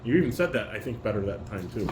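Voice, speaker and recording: A father directing a family table read, reading stage directions haltingly and correcting himself as he coaches. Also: lowish voice, animated. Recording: roomy, noisy home recording.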